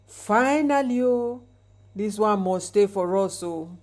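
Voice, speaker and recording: Woman playing a wicked brother-in-law, male, greedy, scheming, emphatic exclamations, raised pitch, folktale villain. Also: slow pace, darkish tone, close to an everyday voice. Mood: gleeful. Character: sinister, scheming brother-in-law hiding greed behind smiles.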